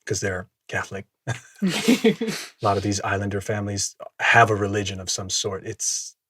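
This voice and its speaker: A man whose first language is Samoan, telling his story as a podcast guest in a reflective, measured way, often laughing. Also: lowish voice, expressive, a bit bright, husky, no room sound, studio quality. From Minnesota, USA. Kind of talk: casual conversational storytelling.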